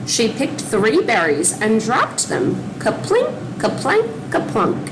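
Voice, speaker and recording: A woman reading a children's story aloud slowly, with a lively, swooping voice and a gravelly edge. Outdoor traffic noise hums behind her. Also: on the high side. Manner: slow, expressive storytelling.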